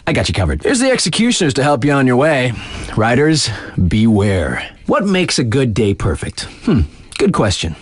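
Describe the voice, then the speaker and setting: Lively, cocky snowboard radio DJ. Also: male voice, mid-range voice, dry recording.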